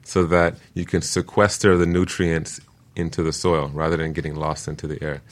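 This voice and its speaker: Man, low voice, guest teacher, conversational, measured, informal, passionate explainer. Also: level tone.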